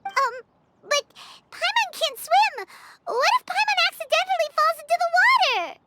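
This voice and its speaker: A woman voicing a small, excitable, bossy childlike floating companion in a video game, with a squeaky, piping voice. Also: moderate pace, heavy background noise. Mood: alarmed, excited. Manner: exclamatory, refers to self in third person. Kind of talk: video game cutscene voice acting. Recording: music behind the voice. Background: game music.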